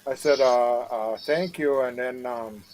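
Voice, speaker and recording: A man with a Wisconsin accent in a casual live chat over a video call, talking haltingly and often repeating his words. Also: medium pitch, a little mellow, a little hiss or hum.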